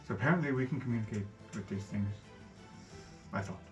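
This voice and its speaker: A man's voice: fairly low pitch, brisk, faint music behind. A man who plays a tabletop roleplaying game, speaking casually and jokingly as he relays his character's words.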